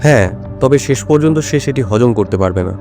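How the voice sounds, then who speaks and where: A male actor playing a shrewd, self-assured detective in an audio drama, speaking in a measured, explanatory way. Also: medium pitch, expressive, mellow, soft background music, lightly acted. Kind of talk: Bengali audio drama dialogue.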